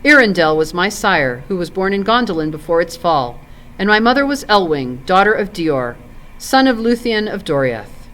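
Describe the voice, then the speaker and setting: Storybook role: ancient, solemn elf lord played by a woman, stately archaic speech, expressive pitch movement. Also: normal speed. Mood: grave, solemn. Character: grave, ancient male elf lord recalling old wars. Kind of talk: storybook character in read-aloud.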